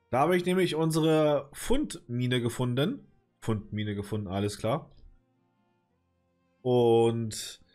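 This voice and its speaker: A man with a slight cold talks through his game in a loose, rambling way, stopping often to hunt for the right spot and swearing under his breath. His voice sits at an ordinary middle pitch. Soft game music plays quietly behind him. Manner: rambling, hesitant, lots of filler, mild swearing. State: has a cold, clears throat. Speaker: let's play gamer. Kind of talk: casual gameplay commentary.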